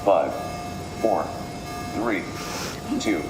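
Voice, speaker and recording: A man voices an automated security system in an audio drama, low and impersonal. The slow, mechanical delivery echoes under very loud music. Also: animated, exaggerated voice. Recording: echoing, buried in loud music and rumble.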